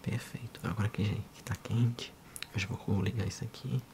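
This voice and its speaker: A man in his thirties with a Brazilian accent, speaking softly and unhurriedly in an ASMR role-play as a physiotherapist addressing the listener. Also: fairly low pitch, steady tone. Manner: soft, unhurried, hushed.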